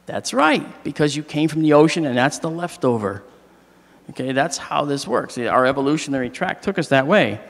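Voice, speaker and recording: A middle-aged man with a slightly hoarse voice gives a joking, animated astronomy lecture on stage into a handheld mic. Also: fairly high pitch, normal speed. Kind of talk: public lecture with jokes. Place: large hall, on stage. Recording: long reverb of a large hall.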